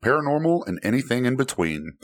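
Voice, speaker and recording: A man's voice: mid-range voice, big pitch swings, quick pace. A man with a nasal voice co-hosting a podcast, joking casually and playing grumpy. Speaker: podcast co-host. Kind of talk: casual chat among friends.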